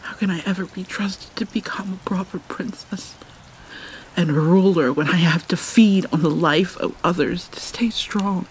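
A woman playing an anguished, proud vampire mermaid princess in a dramatic ASMR roleplay, soft, breathy and halting, often near a whisper. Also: lowish voice, a little hiss or hum, lightly acted, low-quality recording. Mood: anguished, haunted. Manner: halting, theatrical, mournful. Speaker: roleplay voice actor.

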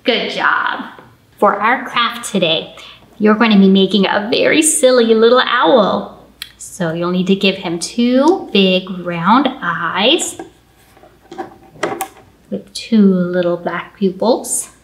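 A woman in her thirties speaking to young children as a storytime host, in an animated, encouraging way with drawn-out emphasis. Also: medium pitch, slight background noise. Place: indoor library storytime room. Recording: roomy indoor echo.

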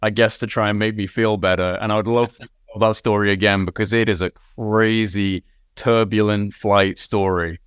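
A man in his thirties hosting a podcast interview over a video call, relaxed and conversational as he leads the chat. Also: lowish voice, normal speed, smooth, no room sound, studio quality. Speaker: podcast host. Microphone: video call webcam.

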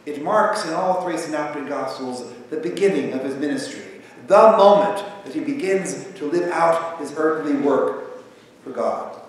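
Pastor preaching in an echoey sanctuary. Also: male voice, fairly high pitch, moderate pace. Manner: conversational, animated, gesturing at the pulpit. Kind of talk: sermon. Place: large church sanctuary with pipe organ. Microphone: far camera across the sanctuary. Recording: echoey sanctuary from far off, faint hiss.

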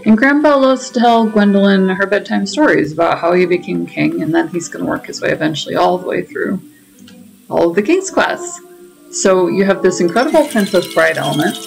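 A woman's voice: lowish voice, fairly quick, vocal fry, poor audio. A woman hosting a gaming channel, giving chatty, explanatory commentary as she plays. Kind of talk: casual game commentary. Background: faint game music.

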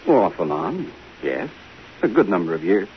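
An actor playing a guarded, shaken man under questioning, hesitant and measured, in a 1950s radio drama. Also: sits low, sing-song. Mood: uneasy. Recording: hissy, muffled old radio recording.

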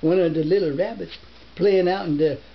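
An older man in his seventies reads a folk tale in a put-on American Southern dialect, halting now and then like a grandfather at story time. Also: sits high, vocal fry. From northern United States. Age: older, 70s. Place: book-lined living room. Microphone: camera a short distance away. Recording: muffled home camera recording with hiss.

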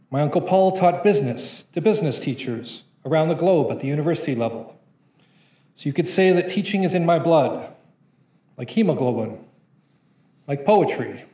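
A man's voice: on the high side, mellow, roomy sound. A young man performing a spoken-word poem at a conference, reading from notes with a rhythmic, playful delivery. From Canada. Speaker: poet. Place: conference room.

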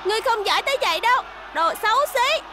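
Squeaky, feisty little girl. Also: lively intonation, quick pace, sharp tone, a faint crowd, a little hiss or hum, heavily acted. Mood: defiant. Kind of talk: dubbed cartoon acting.

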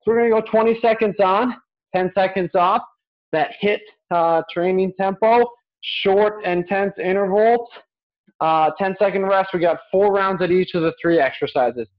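A young man with a notably high voice coaches exercise while doing it himself. He gives short, repeated cues with steady encouragement. The sound is slightly dull and lo-fi, like a webcam in a small home gym. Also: moderate pace. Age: young adult. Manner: physically active while talking. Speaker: fitness coach. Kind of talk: instructional workout coaching with counted cues.

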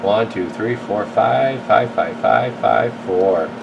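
Low, gravelly man talking through repairs. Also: slow, lo-fi sound. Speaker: radio repair technician and video host. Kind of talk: casual hands-on repair commentary. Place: indoor electronics workshop bench. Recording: noisy workshop with steady background hum.

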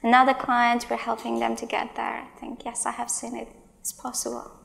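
Conference speaker, woman in her thirties, high voice with gravelly fry, emphatic and exasperated. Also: completely flat delivery, a little fast, a bit bright. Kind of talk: tech conference talk with Q&A. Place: large stage in a hall.

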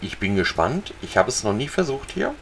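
A man casually talking viewers through a fishing video game, relaxed and chatty. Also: mid-range voice, normal speed, gravelly, slight background noise. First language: German. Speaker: gaming channel host. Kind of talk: casual let's-play commentary.

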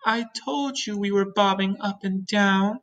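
A woman's voice: mid-range voice, measured pace, a bit bright, distant mic, pitched above the performer's own voice, natural voice. A woman voicing a female ladybug from a children's story, gossipy, sympathetic and confiding. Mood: anxious.

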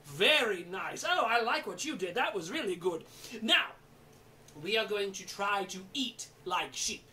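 Performer doing flustered eager kids show storyteller, real bright forceful voice, stammers and coaxes, bit of background noise. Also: mid-range voice, measured pace, distant mic. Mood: encouraging, playful. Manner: excitable, stammering, coaxing. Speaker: performer in an interactive children's show. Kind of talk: theatrical children's storytelling with audience participation.

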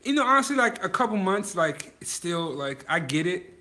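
A man's voice: high-pitched, big pitch swings, normal speed, bright, forceful tone. A man in his thirties from Virginia, hosting a casual livestream from a photo studio on a phone held at arm's length, often laughing and reading viewer comments aloud. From Virginia, USA. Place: photo studio in a garage, indoors.

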